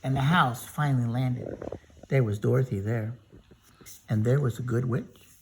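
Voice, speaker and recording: A man reading a children's story aloud, in an expressive way with chatty asides. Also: mid-range voice, normal speed, creaky, noisy background. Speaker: storybook reader.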